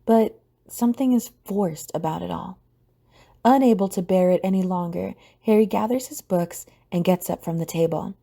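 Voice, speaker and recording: A woman reading scripted story narration for an audio drama in a mellow, husky voice. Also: fairly low pitch, moderate pace.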